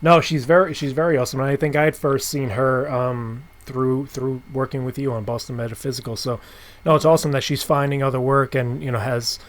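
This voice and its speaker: A man hosting a casual interview, informal and encouraging, often halting as he frames his thoughts. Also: medium pitch, fairly even intonation. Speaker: podcast host, interviewer.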